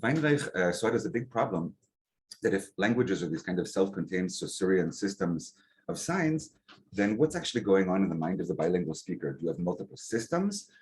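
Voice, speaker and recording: A man giving an academic lecture to a seminar, relaxed and conversational, with frequent um and uh and rhetorical "right?" checks. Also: deep voice, fairly quick. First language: English. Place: lecture hall. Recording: faint background noise, clicks.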